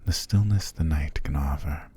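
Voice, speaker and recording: Male actor as possessive vampire master, ASMR roleplay, very husky whispery voice, dark tone, unhurried, formal, soothing yet controlling. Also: very deep voice, exaggerated voice. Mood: dreamy, wistful. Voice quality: breathy, gravelly, often sinking to a whisper.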